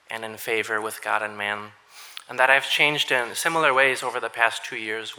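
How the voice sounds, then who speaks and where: A young man from Minnesota giving an earnest graduation speech to his church, with dry understated humor and frequent throat-clearing. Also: medium pitch, pushed and bright, rough recording. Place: church hall with audience.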